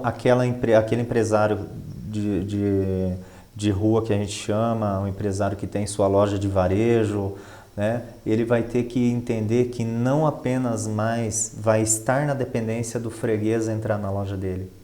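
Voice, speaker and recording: A Brazilian man with a fairly low, very mellow and relaxed voice talks as an interview guest. He explains in a conversational, expert way, repeating key phrases for emphasis and posing rhetorical questions. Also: moderate pace. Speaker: interview guest, finance professional and professor.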